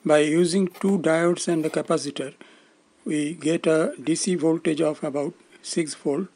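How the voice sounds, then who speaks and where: A man in his sixties giving scripted instructional narration as an electronics instructor, in a measured, formal, explanatory manner. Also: on the high side, fairly even intonation, a little mellow. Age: 60s.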